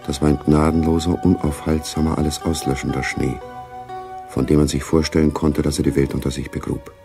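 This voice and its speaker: A man narrating a radio drama in a sober, measured literary manner. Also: extremely low pitch, flat delivery, gravelly, music in the background.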